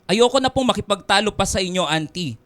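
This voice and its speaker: A man's voice: high voice, lively intonation, quick pace, a bit bright. A man playing a devoted, caring young Filipino man in a radio drama, earnest and polite. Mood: hurt, defiant. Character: devoted, caring young male nurse, respectful but firm.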